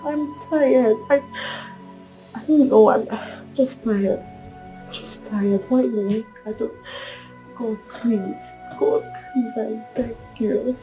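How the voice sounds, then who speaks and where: An earnest, troubled young Nigerian woman in a screen drama. Her voice is high and mellow and moves at a slow pace, with faint music behind it. She sounds plaintive and repeats her phrases. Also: expressive, rough recording. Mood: weary, despairing. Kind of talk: film drama acting.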